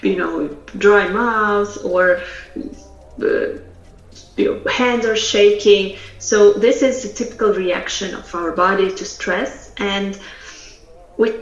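A woman's voice: medium pitch, slow, roomy sound, muffled recording. A woman from Russia, speaking as a podcast guest and communication coach, earnest and thoughtful with frequent fillers and restarts. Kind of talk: podcast interview.

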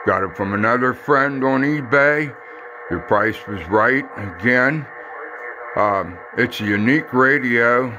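A man talking casually in a plain, folksy, halting way as he shows something on a handheld camera at home. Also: mid-range voice, background noise. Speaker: hobbyist radio collector. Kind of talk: casual handheld video demonstration. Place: room in a house. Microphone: handheld phone or camera behind the lens.

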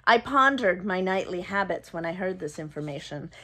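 A woman in her fifties reading her own first-person story aloud expressively, with measured delivery and dramatic pauses. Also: on the low side, animated, bright, forceful tone. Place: small indoor room, plain wall.

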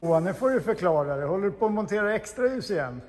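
A man's voice: high-pitched, animated, long reverb tail. A man hosting a casual vlog from his car workshop, upbeat and encouraging as he coaches and chats. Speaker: vlog host, garage owner. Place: large car workshop, indoors.

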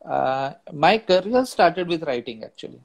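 A man in his forties with an Indian English accent, a native Marathi speaker, talking as a guest on a video call through an earphone mic, thoughtful and pausing often. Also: a little high, lively intonation, creaky. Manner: thoughtful, hesitant with many uh fillers and restarts. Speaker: musician and storyteller guest. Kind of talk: reflective conversational interview. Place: home study with bookshelves, indoors. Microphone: wired earphone mic on video call.

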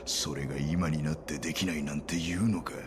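A menacing conspirator commander from a video game. His low, stern voice is imperious and domineering, with clear ambient noise behind it. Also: fairly even intonation, a little fast. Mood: stern, accusing.

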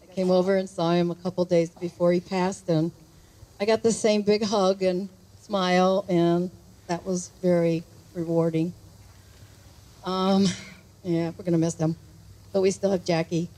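A woman's voice: on the low side, flat delivery, measured pace, mellow, rough recording. Older woman sharing a memorial memory. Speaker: friend at memorial. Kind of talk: memorial remembrance. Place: outdoors at a waterside memorial gathering. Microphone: handheld mic.

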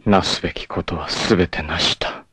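An actor playing a proud, self-sacrificing long-haired martial artist in a 1980s anime, speaking solemnly and dramatically with fierce shouts in battle. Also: male voice, low voice, steady tone, moderate pace, breathy edge, no echo, full character voice. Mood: peaceful resolve.

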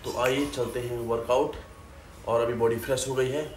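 A man's voice: mid-range voice, little pitch movement, moderate pace, a little mellow, a little hiss or hum. Chatty man vlogging into his phone. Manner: chatty, explains step by step, mixes in English phrases. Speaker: fitness vlogger. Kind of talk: casual vlog talk to camera. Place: small room at home, then a gym. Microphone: phone camera at arm's length. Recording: echoey room with music behind.

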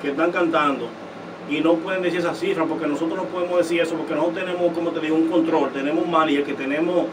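Man, young, high voice, boastful, distant mic, hiss. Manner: boastful, fast and emphatic. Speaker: guest musician. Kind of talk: casual interview talk. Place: indoor studio set. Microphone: studio desk mic. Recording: distant mic with steady noise.

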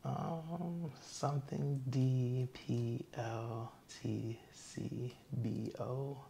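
Man, 30s, as cooperative male exam patient; low-key, plain, creaky voice, echoey room with faint noise. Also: steady tone, extremely slow, warm tone. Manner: brief, plain, cooperative answers. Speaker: patient in ASMR roleplay. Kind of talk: low-key ASMR roleplay responses. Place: small indoor room set up as an exam room.

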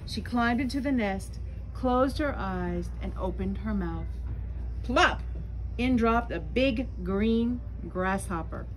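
A woman in her sixties reading a picture book aloud to children in a slow, dramatic storytelling manner, with little asides. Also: a little high, expressive, low-quality recording. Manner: slow, dramatic, deliberate phrasing. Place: small bookstore, indoors. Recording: clear background room noise.

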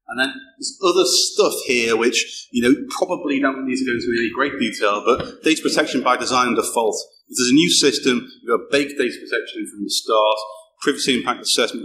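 A man's voice: medium pitch, fairly bright tone. A middle-aged man giving a conversational technical talk at a conference, often restarting and repeating words as he goes. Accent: non-local British accent. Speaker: conference speaker. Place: small darkened event room, indoors. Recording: echoey room, faint background hum.

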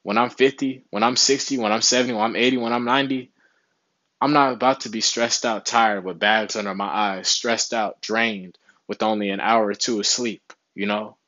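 A man's voice: on the low side, steady tone, moderate pace, bright, forceful tone, dry recording, highs cut off. A young man giving casual motivational health advice to camera in a small room, laid-back and often saying "you know what I'm saying". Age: young adult. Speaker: vlogger. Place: small indoor room with window behind.

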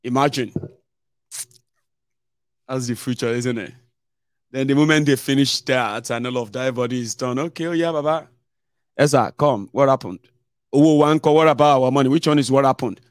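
A Nigerian man in his thirties giving sarcastic, animated political commentary into a desk microphone, with some Pidgin mixed in. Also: medium pitch, fairly quick, long pauses, dry recording, studio quality. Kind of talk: live stream commentary. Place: indoor home studio.

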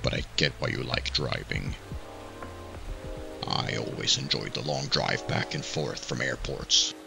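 A man acts out an old werewolf for a visual novel game. The voice is extremely low and heavily gravelly, with a calm, kindly, measured manner. Music plays clearly behind it. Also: heavily acted. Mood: calm, friendly. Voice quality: very gravelly, rumbling. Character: gruff, kindly old werewolf man, hospital admissions officer. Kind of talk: fan-dubbed visual novel acting.

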